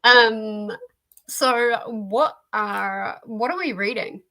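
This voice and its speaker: A woman's voice: on the high side, extremely slow, pushed and bright, poor audio. A woman hosting a reading livestream, chatting casually and informally about books. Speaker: host of a reading sprint livestream.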